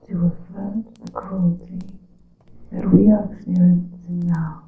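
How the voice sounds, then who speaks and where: A woman whispering an ASMR roleplay as a grave witch, in slow, hushed, theatrical phrasing with a breathy voice. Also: steady tone. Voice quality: breathy whispering mixed with soft low voice. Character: grave witch counseling her coven in secret. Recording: muffled lo-fi, echoing room.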